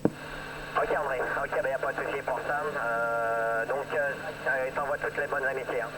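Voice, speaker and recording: Through loud radio noise comes a man with a medium-pitched voice. He chats casually as an amateur operator, hesitating often, repeating phrases and tagging them with small filler words. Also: steady tone, a little slow. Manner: hesitant, repetitive, tags phrases with "hein". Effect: two-way radio. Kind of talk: casual radio contact chat. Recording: very noisy radio transmission.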